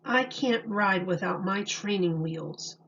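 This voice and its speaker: A woman's voice: mid-range voice, takes it slowly, gravelly, fairly bright tone, dull audio, slight background noise. A woman voicing a young boy turtle in a storybook, plaintive and exclaiming, easily discouraged but eager.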